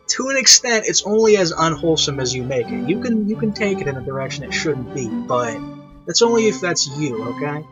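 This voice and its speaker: A man with a fairly high, very bright and forceful voice gives an off-the-cuff review. He rambles and circles back over his own phrases for emphasis. The recording is dull and lo-fi, with classical music clearly behind him. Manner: rambling, repeats himself for emphasis. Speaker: review show host, online reviewer. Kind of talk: casual unscripted review commentary. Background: orchestral and piano classical music.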